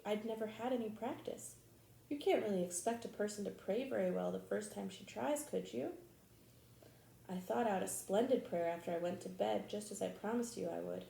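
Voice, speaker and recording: A woman's voice: medium pitch, creaky, dark tone, roomy sound, lightly acted, rough recording. A woman voicing an earnest, dreamy orphan girl from a storybook, imploring and gushing with dramatic feeling.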